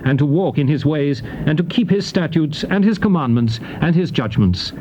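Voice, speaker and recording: A male actor playing a solemn lawgiver in a dramatized King James Bible reading, speaking in a measured, declamatory manner. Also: fairly high pitch, expressive, natural voice. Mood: solemn, commanding. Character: solemn male lawgiver addressing his people in scripture. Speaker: scripture narrator. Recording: dull noisy old cassette tape.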